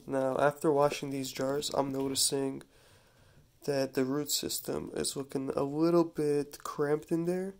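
Male host, stuffy from a cold, flat even delivery, relaxed halting how-to talk over a faint running tap. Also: mid-range voice, slight background noise. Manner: relaxed, halting, with restarts and self-corrections. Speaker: hydroponics gardening channel host. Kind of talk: casual how-to demonstration. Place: home kitchen at a running sink. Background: running tap water.

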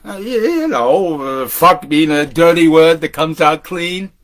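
An older man performing a theatrical monologue like a beat storyteller, animated and halting, with drawn-out words. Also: on the high side. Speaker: solo performer.

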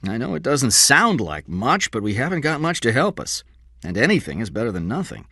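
A man's voice: medium pitch, big pitch swings, fairly quick, pushed and bright, no echo, clean studio sound, pitched above the performer's own voice, full character voice. A man voicing a frank, practical police lieutenant in an audiobook crime story, speaking in an emphatic, businesslike way.

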